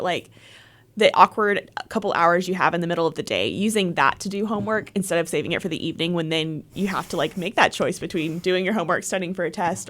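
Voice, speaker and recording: A young woman from Texas talking as an interview guest, her voice sitting a little low for a woman. She is chatty and agreeable, filling her sentences with "like" and "um". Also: fairly bright tone. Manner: friendly, chatty, agreeable, with frequent "like" and "um". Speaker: guest, leadership program coordinator. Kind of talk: casual interview chat.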